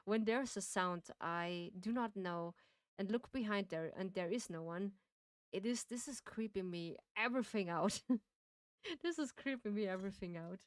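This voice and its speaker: A young woman from Austria talks in loose, rambling English with a heavy Austrian accent. She restarts her sentences and laughs as she chats through a game on stream. Her voice sits at an ordinary middle pitch, and the room sound is dry and close. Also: moderate pace, clean tone, no room sound. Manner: chatty, rambling, laughs often, many restarts. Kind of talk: casual chat while playing and reading a game.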